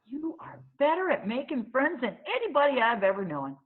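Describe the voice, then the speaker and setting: Woman reading as a spirited, animated ten-year-old girl. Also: sits high, moderate pace, narrow, tinny audio, pitched above the performer's own voice. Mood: grateful, fond. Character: spirited ten-year-old preacher's daughter, defiant and friendly. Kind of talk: storybook read-aloud character.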